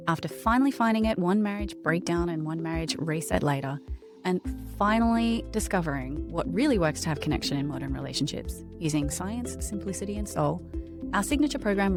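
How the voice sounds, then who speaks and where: A woman hosting a podcast, reading an upbeat scripted intro and then interviewing with emphatic, drawn-out phrasing. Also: low voice. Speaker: podcast host.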